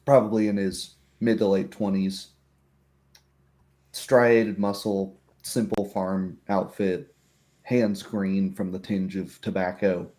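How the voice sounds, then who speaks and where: Slow, mellow male game master. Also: sits low, little pitch movement. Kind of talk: narrating a tabletop roleplaying game.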